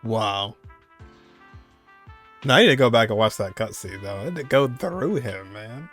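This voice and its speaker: A man's voice: lowish voice, expressive, a little slow, a bit bright, soft background music. A man giving casual reaction commentary on game cutscenes, slangy and full of exclamations.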